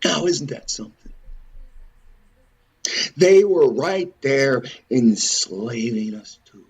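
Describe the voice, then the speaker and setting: A man's voice: mid-range voice, animated, takes it slowly, a little mellow, breathy edge. A man hosting an informal, impassioned commentary, ranting with repetition, rhetorical questions and swearing. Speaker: host of a religious and historical commentary show.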